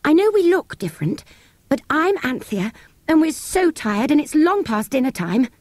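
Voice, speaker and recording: A woman's voice: sits high, sing-song, normal speed, a little mellow, dry recording, voice pushed up. A woman voicing an earnest, pleading young girl in a children's storybook reading. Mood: weary, pleading. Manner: pleading, eager, emphatic.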